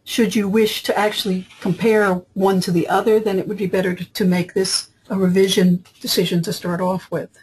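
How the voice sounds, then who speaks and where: A woman software trainer narrating a screen tutorial in an explanatory, step-by-step way, with a husky voice. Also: medium pitch, little pitch movement, a little fast, hardly any pauses, rough recording. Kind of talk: screen-capture tutorial narration, semi-improvised. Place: voice-over for screen recording.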